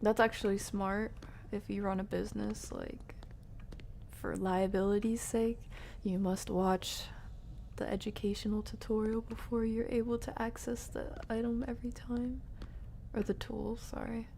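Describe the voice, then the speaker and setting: A woman's voice: mid-range voice, flat delivery, a little slow, gravelly, mellow, slight background noise. A woman streamer giving casual live gameplay commentary, chatting to herself and her viewers in slangy asides. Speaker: video game streamer.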